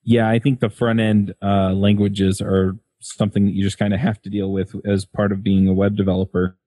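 A man's voice: lowish voice, little pitch movement, moderate pace, mellow, dry recording. Male podcast host with a colloquial manner. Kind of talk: casual podcast interviewing and scripted sponsor read.

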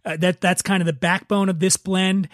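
A man's voice: high-pitched, level tone, moderate pace, no echo, studio quality. A man hosting a podcast, chatting casually and explaining things with enthusiasm and the odd swear word. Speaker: podcast host. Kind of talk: casual chat about wine.

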